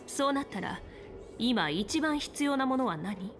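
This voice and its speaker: A woman's voice: on the high side, lively intonation, unhurried, music in the background. An actress playing a confident, commanding woman general and seasoned strategist in a video game. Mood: firm, measured. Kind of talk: Japanese video game voice acting.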